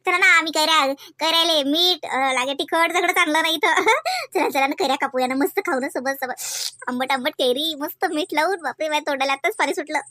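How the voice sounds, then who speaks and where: A woman's voice: sits high, expressive, a little fast, few pauses, sharp tone, no room sound, studio quality. A woman voicing a playful, bossy young village wife in a comedy cartoon, coaxing and giggly, with a rural Marathi accent. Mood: eager, coaxing.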